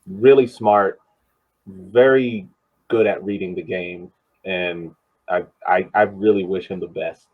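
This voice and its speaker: A man's voice: low voice, fairly even intonation, slow pace, slightly rough. A man co-hosting a sports podcast in casual discussion, emphatic and repeating phrases for stress, on slightly dull audio. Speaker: podcast co-host, soccer coach.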